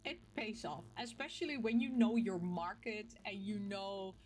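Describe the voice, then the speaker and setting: A woman from the Netherlands with a Dutch accent chats casually to her viewers as a gaming vlogger, telling things in an animated, playfully emphatic way with little acted-out quotes. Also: medium pitch, measured pace, a little hiss or hum. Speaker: video game vlogger and host. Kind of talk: casual, chatty talk to camera.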